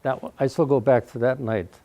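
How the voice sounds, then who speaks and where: A man around ninety with a mellow voice, telling his life story to a live audience in a folksy way. He speaks loudly because he has no microphone and often clears his throat. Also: medium pitch, fairly even intonation, a little fast. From Wausau, Wisconsin, USA. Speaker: guest speaker. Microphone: no microphone, recorded in the room.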